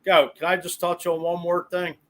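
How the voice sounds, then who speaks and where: A man's voice: high voice, level tone, normal speed. An American man hosting a sports podcast in a casual, chatty style. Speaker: podcast host.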